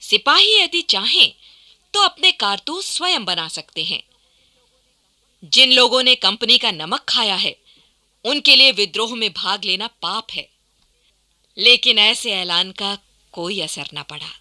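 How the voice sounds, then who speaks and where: A woman's voice: mid-range voice, expressive, measured pace, long pauses, pushed and bright. A woman delivering formal, dramatic scripted narration for a historical radio play in a declamatory, emphatic manner.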